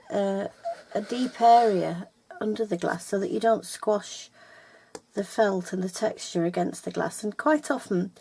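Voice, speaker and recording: A woman's voice: medium pitch, gravelly, a little mellow, a little hiss or hum. An older woman teaching felt-making from her home, talking casually with many ums and restarts. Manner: chatty, hesitant, with many ums and self-corrections. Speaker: felting teacher. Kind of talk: casual video tutorial. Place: home room, upstairs.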